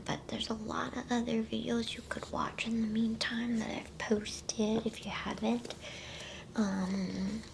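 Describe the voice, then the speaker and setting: A woman whispering softly in a soothing, unhurried way, as an ASMR host reading bedtime stories and chatting to listeners. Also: medium pitch, noisy background. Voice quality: breathy whisper.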